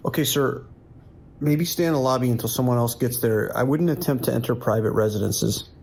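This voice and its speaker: An actor playing a weary, steady New York police dispatcher in an audio drama, speaking in a measured, procedural way. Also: male voice, medium pitch, creaky, breathy edge. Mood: calm, procedural.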